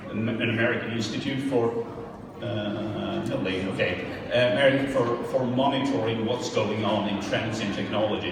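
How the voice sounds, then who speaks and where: A man with a Swedish accent giving a technical lecture, speaking haltingly with many fillers and restarts. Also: mid-range voice. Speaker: conference speaker. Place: lecture hall. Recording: roomy hall, distant mic, background noise.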